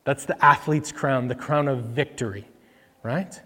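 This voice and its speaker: A man's voice: mid-range voice, unhurried, bright, forceful tone, long reverb tail. A man preaching a conversational sermon into a microphone, with many fillers, acting out the people in the scripture he reads. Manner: conversational, filler-heavy, voices characters when reading. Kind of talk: casual sermon with scripture reading. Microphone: microphone that cuts out.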